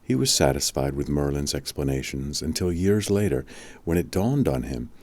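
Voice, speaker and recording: A man narrating a spiritual audiobook in a measured, deliberate scripted style. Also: very deep voice, expressive, a little mellow.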